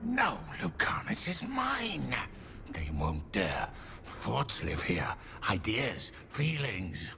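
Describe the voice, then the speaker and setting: An actor playing a possessive, brooding demon spirit in a game, speaking in halting, cryptic, clipped phrases. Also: male voice, mid-range voice, wildly swooping pitch, creaky, bright, forceful tone, a little hiss or hum, full character voice. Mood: intense, possessive.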